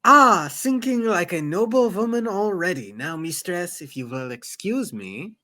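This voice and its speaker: A man's voice: high voice, lively intonation, measured pace, sharp tone, heavily acted. A man playing a courteous, formal steward who tutors his mistress, in a fantasy novel read aloud. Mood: wry, courteous. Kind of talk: acted reading of a fantasy novel.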